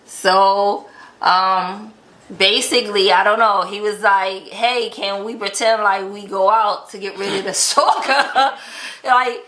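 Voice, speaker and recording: A woman's voice: medium pitch, fairly even intonation, slow pace, fairly bright tone. A woman telling a personal story in casual conversation, acting out quoted dialogue as she goes. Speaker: vlog host telling relationship stories. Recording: echoey untreated room.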